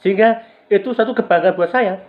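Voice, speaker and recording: Measured Javanese-accented male lecturer. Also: high-pitched. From Java, Indonesia. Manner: measured, stretches key words, often says "ya" and "saudara". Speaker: university lecturer. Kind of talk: recorded online lecture. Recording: dull lo-fi recording.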